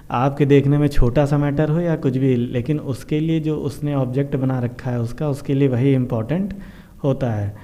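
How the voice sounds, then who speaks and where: A man's voice: mid-range voice, mellow. An Indian man hosting an informal news explainer, talking to viewers in a repetitive, explanatory way and mixing in English terms. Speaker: host of a current affairs explainer show. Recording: dull, muffled lo-fi sound.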